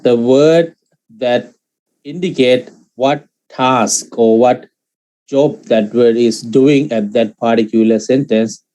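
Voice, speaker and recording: Slow, mellow man teaching grammar online. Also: medium pitch, poor audio. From Sri Lanka. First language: Sinhalese. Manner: slow and halting, with many fillers and restarts. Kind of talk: online lecture.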